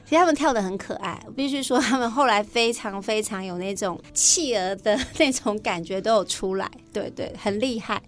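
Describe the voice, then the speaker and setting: A woman's voice: medium pitch, brisk, few pauses. A woman with a Taiwanese Mandarin accent talks as a teacher being interviewed, with frequent affirmations and the odd English term. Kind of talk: conversational interview explanation.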